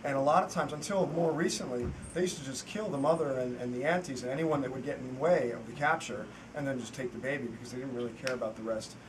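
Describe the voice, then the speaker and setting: A filmmaker on a festival panel answering audience questions in earnest, rambling sentences full of restarts. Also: male voice, medium pitch, fast, hardly any pauses. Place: festival screening hall. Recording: distant mic with noisy room.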